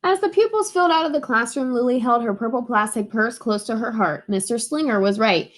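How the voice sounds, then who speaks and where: A woman with a fairly high voice reads a picture book aloud for children. She is animated and expressive, like a teacher at story time, and acts out the characters' lines. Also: fairly quick.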